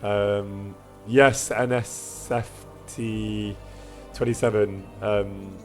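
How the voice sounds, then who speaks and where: A man streaming a game with casual, self-deprecating commentary, in a husky voice, chatting with his viewers. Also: lowish voice, level tone, very slow, music in the background.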